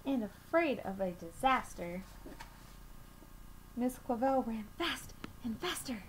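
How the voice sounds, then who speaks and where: Young female storytime reader, high voice, deliberate expressive delivery, slightly noisy webcam recording. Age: young adult. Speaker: children's storytime reader at a school. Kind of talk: reading a picture book aloud to children. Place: small room at home, indoors.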